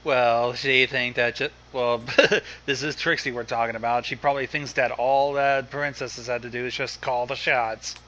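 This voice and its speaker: Very bright-voiced man wisecracking casually. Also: mid-range voice, level tone, normal speed, few pauses, lo-fi sound, slight background noise. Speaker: livestream co-commentator.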